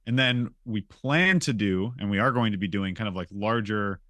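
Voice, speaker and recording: A man's voice: fairly low pitch, moderate pace, a bit bright, no echo. A man from a game studio chatting casually on a podcast, informal and emphatic, often repeating his phrases.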